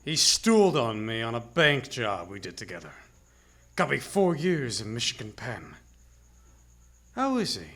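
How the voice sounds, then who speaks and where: An actor with an American accent playing a menacing, taunting killer and bank robber in a hardboiled crime radio drama. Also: male voice, mid-range voice, huge pitch swings, a bit bright, exaggerated voice. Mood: cold menace. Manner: slow, taunting tough-guy drawl.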